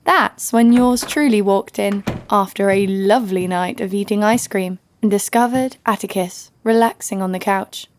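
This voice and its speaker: A woman's voice: mid-range voice, normal speed, few pauses, lightly acted. A woman playing a sassy, snarky heroine who narrates an audio drama story over faint music. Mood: storytelling. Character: sassy, snarky female sidekick-owning storyteller, fond but teasing. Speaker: narrator and lead. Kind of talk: scripted first-person story narration.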